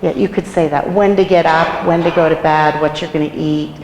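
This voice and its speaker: A woman with a hoarse, gravelly, low voice leads a staff training session, firing questions at the room in an easy, chatty way. She is recorded in an echoey room with a long reverb tail. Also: steady tone, a little fast, background noise. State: hoarse from a cold. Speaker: nursing home administrator. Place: meeting room in a care home.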